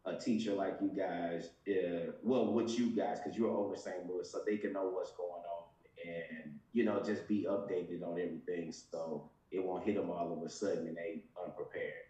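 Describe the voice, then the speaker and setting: A man's voice: low voice, fairly even intonation, normal speed, few pauses, mellow, heavy reverb, distant mic, poor audio. A man calling in to a church livestream, speaking hesitantly and informally. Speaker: caller. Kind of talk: casual call-in.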